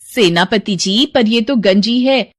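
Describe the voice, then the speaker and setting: A woman voicing a scheming, greedy, cruel stepmother in an animated fairy tale, with a conniving, exclaiming delivery. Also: fairly high pitch, takes it slowly. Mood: alarmed.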